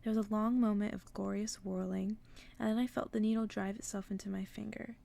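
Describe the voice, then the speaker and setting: Soft asmr lady reading you a bedtime story, half whispering, bit of vocal fry. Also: medium pitch, level tone, fairly quick. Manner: hushed, drifting into casual asides. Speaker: host reading a book aloud on an ASMR channel.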